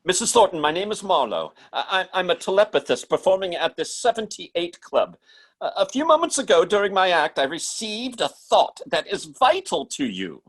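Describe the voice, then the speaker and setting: A man plays a nightclub mind reader in an old radio play. His voice rides high and swoops widely as he stammers and halts with theatrical urgency. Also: big pitch swings, normal speed, heavily acted. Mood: urgent, pleading. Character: agitated nightclub mind reader, showy on stage, urgent offstage. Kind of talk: old-time radio drama acting.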